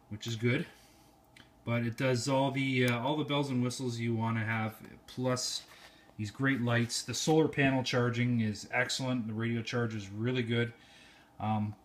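A man's voice: medium pitch, a little hiss or hum. A man giving an informal, slightly rambling hands-on product review, recorded indoors on a handheld phone. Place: indoor room at home. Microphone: handheld cell phone.

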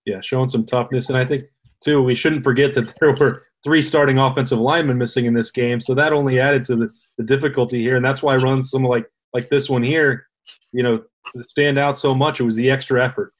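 A man's voice: medium pitch, steady tone, fairly quick. Conversational man hosting a sports show. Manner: conversational, sets up questions and clips. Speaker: host, sports reporter. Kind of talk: casual sports talk interview. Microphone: video call.

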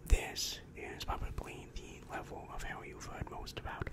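Young man as a slow whispering demon counselor. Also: close to an everyday voice. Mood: patient, instructive. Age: young adult. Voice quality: breathy unvoiced whisper. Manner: slow, soothing, patient explaining. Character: calm, reassuring male demon guidance counselor of hell. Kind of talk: ASMR roleplay. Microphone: close-up microphone with foam windscreen.

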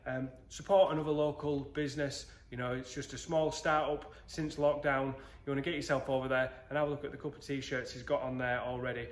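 A man in his thirties, hosting a casual woodworking tutorial and chatting to viewers in a workshop, filmed on a handheld camera. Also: mid-range voice. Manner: chatty, relaxed, addresses viewers as guys. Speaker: woodworking channel host. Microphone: handheld phone camera, moving. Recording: echoey workshop with faint noise.

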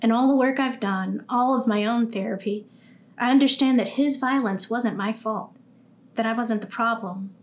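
A woman's voice: on the high side, moderate pace. A young woman reading a first-person testimonial monologue aloud. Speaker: reader of a survivor's story. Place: indoors against a dark backdrop.

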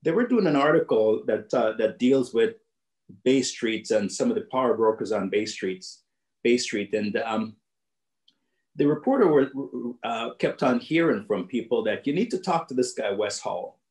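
A man's voice: medium pitch, animated, normal speed, darkish tone, echoey room. A middle-aged man from Jamaica with a Jamaican accent, telling a personal story to young people in an earnest way over a video call from his home office. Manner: earnest storytelling, repeats phrases for emphasis. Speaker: guest speaker.

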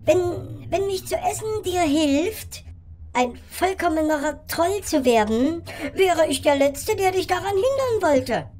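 A woman's voice: very high-pitched, lively intonation, slow pace, darkish tone, heavily acted. A woman voicing an earnest, over-polite billy goat in a fairy-tale parody radio play, with a bleating, wordy delivery. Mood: frightened.

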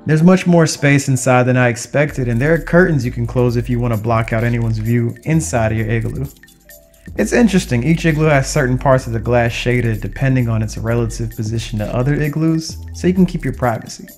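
A man's voice: mid-range voice, brisk, darkish tone. A young adult man, a travel vlogger, narrating casually in an upbeat, conversational way. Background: music.

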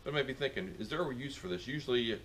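A man's voice: medium pitch, expressive, rapid-fire, a bit bright, a little hiss or hum. A middle-aged man giving a casual outdoor how-to as a beekeeper, rambling with many fillers and restarts, recorded on a camera mic in a farmyard.

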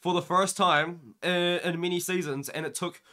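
A young man vlogs from a room into a webcam, sick and losing his voice. His voice sits a little high and sounds bright and forceful. He rambles eagerly, dropping "man" and "dude" everywhere. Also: moderate pace. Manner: excited, rambling, says "man" and "dude" a lot. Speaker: MMA YouTuber. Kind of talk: casual reaction vlog.

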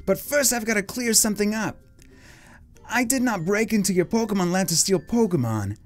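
Someone putting on an eager young boy trainer, animated. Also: mid-range voice, normal speed, soft background music, voice pushed up, close to an everyday voice. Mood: excited, earnest.

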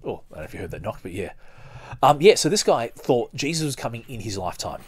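A man hosting a podcast, reading aloud and commenting casually in a halting, rambling way. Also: mid-range voice, big pitch swings, husky, no room sound. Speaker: podcast host.

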